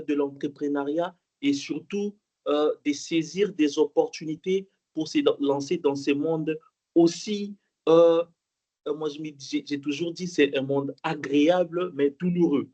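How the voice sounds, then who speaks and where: Young guy from congo giving an online conference talk, kinda high voice, lots of euh and restarts. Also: unhurried, a little mellow, highs cut off. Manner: hesitant, many fillers and restarts, emphatic repetition. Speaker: conference speaker. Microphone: online call mic.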